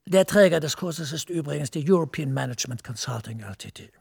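An actor playing a smooth, persuasive senior EU official who speaks in a measured way. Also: male voice, fairly high pitch, expressive, darkish tone, exaggerated voice. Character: smooth senior EU director, middle-aged man, persuasive.

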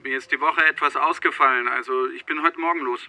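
An actor playing an evasive holidaymaker answering police questions, guarded and hesitant, in a naturalistic radio drama. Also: male voice, medium pitch, steady tone, quick pace, sharp tone.

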